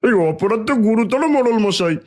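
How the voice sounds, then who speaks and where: A man voices a crafty village false witness for a cartoon tale. The voice is high, smug and gossipy. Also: animated, a little fast, a little mellow. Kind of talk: Bengali fairy tale cartoon dialogue.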